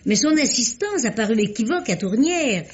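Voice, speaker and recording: A woman's voice: on the high side, moderate pace. An actress playing a shrewd, wry older woman who unravels a murder, in a theatrical old radio drama style. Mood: explaining. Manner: crisp, theatrical, lively explanations. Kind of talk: 1950s French radio drama acting. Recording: muffled old radio recording.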